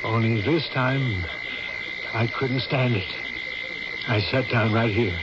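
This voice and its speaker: An actor playing a gentle, doting middle-aged father in a radio drama, with a tender and hesitant delivery. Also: medium pitch, slow pace, close to an everyday voice. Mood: tender, troubled. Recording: noisy, dull old-time radio recording.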